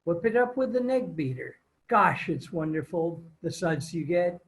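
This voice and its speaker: A folksy sponsor's announcer acted by a man from old-time radio. The voice is high for a man, and the delivery is chatty, gushing and enthusiastic. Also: very animated, normal speed. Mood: cheery enthusiasm. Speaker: commercial announcer.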